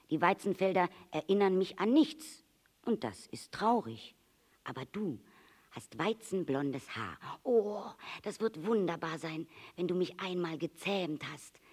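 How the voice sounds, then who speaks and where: A storybook reader takes on an earnest fox with a wistful heart. The voice sits mid-range and moves in lively leaps, explaining with emphasis and pleading for friendship. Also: medium pitch, husky, pitched above the performer's own voice. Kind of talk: storybook character reading.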